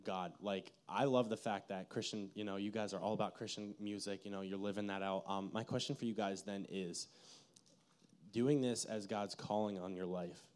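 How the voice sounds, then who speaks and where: A man's voice: lowish voice, fairly even intonation, moderate pace, a little mellow, smooth. A man hosting a casual interview, asking questions in an enthusiastic, informal way. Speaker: interviewer, host.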